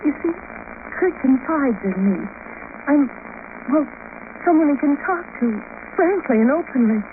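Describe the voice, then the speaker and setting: A woman's voice: high-pitched, lively intonation, a little slow. An actress playing a devoted young woman who pleads with intense sincerity in 1950s radio drama style. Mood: tender, devoted. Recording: scratchy, noisy, narrow-band 1950s radio recording.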